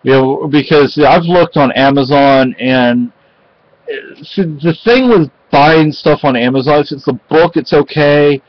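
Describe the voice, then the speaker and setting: A middle-aged man from Spain chatting casually at home in a halting, rambling way with lots of fillers, recorded close on a webcam. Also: fairly high pitch. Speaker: vlogger. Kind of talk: casual home vlog chat. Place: cluttered home room with bookshelves. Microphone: webcam at close range. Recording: dull lo-fi webcam audio.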